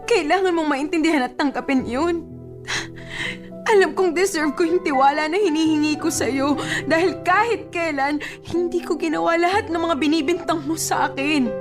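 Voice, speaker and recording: A woman's voice: very high-pitched, moderate pace, over music. A woman with a Filipino accent playing a young girlfriend with a controlling boyfriend, in emotional radio drama style. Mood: exasperated, pleading. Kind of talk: Tagalog radio drama acting.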